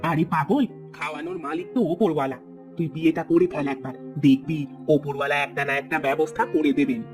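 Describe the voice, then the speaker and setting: Actor as elderly cartoon mother, rapid chatter, insistent, coaxing and complaining, music underneath. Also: mid-range voice, lightly acted. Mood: nagging. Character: nagging, wistful old village mother of grown son. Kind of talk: cartoon voice acting. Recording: music bed behind.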